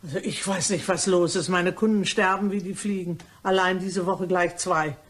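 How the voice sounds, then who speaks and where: Woman acting a gossipy, husky cleaning lady. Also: fairly low pitch, fairly even intonation, moderate pace, lightly acted. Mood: alarmed, fretting. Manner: chatty, dramatic storytelling. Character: gossipy, chattering Parisian cleaning woman, blithely indiscreet. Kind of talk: German crime radio play acting.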